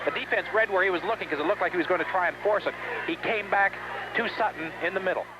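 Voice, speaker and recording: Quick-talking American male color commentator, old radio. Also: high voice. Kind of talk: unscripted football analysis on radio. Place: outdoor high school football game broadcast. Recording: muffled noisy 1970s radio broadcast audio.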